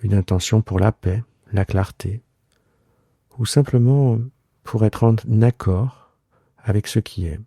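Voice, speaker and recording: A man guiding a yoga relaxation meditation in a soothing, unhurried way, leaving long pauses between short phrases. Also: fairly low pitch, dark tone, dry recording. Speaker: yoga nidra guide and host. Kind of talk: guided relaxation meditation, improvised.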